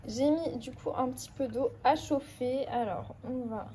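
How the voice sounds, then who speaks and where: A woman with a stuffy, hoarse voice from a cold, chatting casually in a book vlog and rambling with lots of hesitations. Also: high voice, unhurried, background noise. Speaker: book vlogger.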